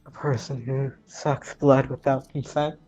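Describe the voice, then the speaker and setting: A man's voice: medium pitch, level tone, unhurried, a little mellow, faint music behind, voice pushed down, lightly acted, low-quality recording. A man voicing a distraught, guilt-ridden teenage orphan girl in a tabletop game, stammering and repeating words. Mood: guilty, hesitant.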